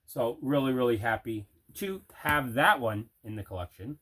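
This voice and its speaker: A middle-aged man casually showing items in an unboxing, deliberate and repeating himself. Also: mid-range voice, lively intonation, a bit bright. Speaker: host of a movie collecting show. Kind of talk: casual unboxing show-and-tell.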